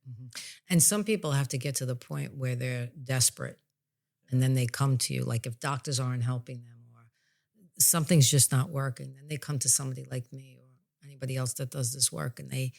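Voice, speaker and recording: A woman's voice: rumbling low, steady tone, a little fast, creaky, a little mellow. A plain-spoken woman talking casually as a psychic medium and podcast host, explaining her work in an informal way. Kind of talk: casual conversational explanation of spiritual healing work.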